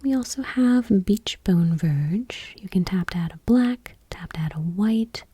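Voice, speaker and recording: A woman ASMR host softly reading in a hushed, breathy whisper, gentle and unhurried, item by item. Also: medium pitch, expressive, dry recording. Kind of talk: soft-spoken ASMR, whispered card reading.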